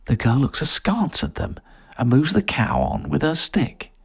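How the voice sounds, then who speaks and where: A man's voice: mid-range voice, expressive, unhurried, vocal fry, breathy edge. A man reading a short story aloud as scripted narration.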